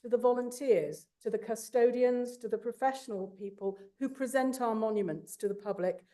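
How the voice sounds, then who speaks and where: A woman's voice: a little high, normal speed. Enthusiastic woman presenting awards. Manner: warm, enthusiastic, with small asides. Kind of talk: formal awards speech.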